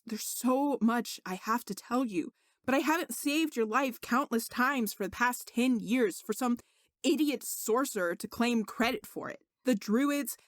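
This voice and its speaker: A woman's voice: a little high, no room sound, clean studio sound, natural voice. A woman voicing an indignant young male servant who is secretly a sorcerer, speaking earnestly and insistently in a story reading. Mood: pleading, hurt.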